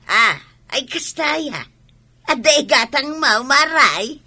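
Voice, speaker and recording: A woman playing an excitable village gossip with an Ilocano Filipino accent, exclaiming and drawing out her words in a comic radio drama. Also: sits high, slow pace, heavily acted. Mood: boastful.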